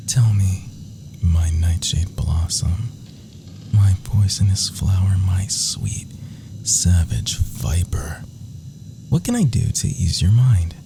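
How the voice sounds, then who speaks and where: A man's voice: low-pitched, creaky, heavily acted. A man playing a gleefully sadistic, doting villain lover in an ASMR roleplay, melodramatic and flirtatious, with purred, whispery pet names. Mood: doting, languid.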